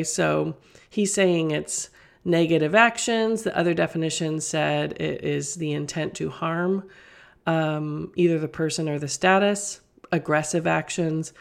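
A woman's voice: fairly low pitch, creaky, darkish tone. Woman podcast host getting over a cold. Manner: earnest, explanatory, with frequent fillers and restarts. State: recovering from a cold. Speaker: podcast host and middle school teacher. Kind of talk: solo podcast talk.

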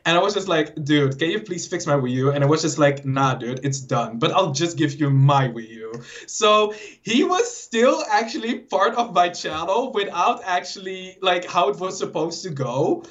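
A young Dutch man with a Dutch accent, a guest chatting casually and rambling quickly with lots of fillers and sudden lively jumps in his voice. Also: fairly high pitch. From Netherlands. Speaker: guest YouTuber. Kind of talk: casual interview chat. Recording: dull lo-fi audio.

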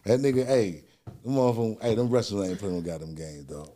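A middle-aged man chatting casually as a podcast host, telling animated stories and laughing often. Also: lowish voice, fast talker. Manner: animated storyteller, loud bursts when quoting himself, frequent laughter. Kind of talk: casual chat, storytelling.

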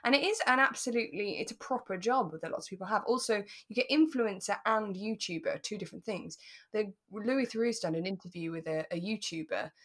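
A woman's voice: medium pitch, a little fast. A British woman co-hosting a podcast in a casual, chatty style, speaking informally and with emphasis. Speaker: podcast co-host.

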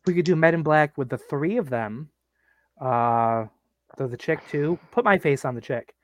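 Podcast co-host, male, late thirties, slightly high voice, crude rambling jokester, dry close mic. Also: lively intonation, normal speed, dry recording. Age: 30s. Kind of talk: casual crude banter.

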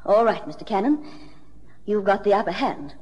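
A woman acting a husky, imperious radio-drama lady. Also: mid-range voice, animated, normal speed, darkish tone, in a large hall, close to an everyday voice, low-quality recording. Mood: resigned, guarded. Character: secretive, imperious female client of detectives.

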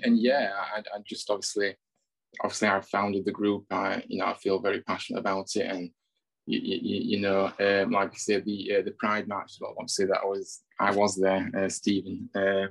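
Gravelly, flat-voiced man. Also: on the low side, low-quality recording. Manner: hesitant, lots of fillers. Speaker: supporters group founder, guest. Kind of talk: casual podcast chat.

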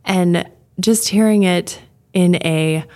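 A woman co-hosting a podcast in a casual, chatty way, laughing often and lifting her voice when animated. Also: on the low side, slow pace. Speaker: podcast co-host. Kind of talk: casual chat.

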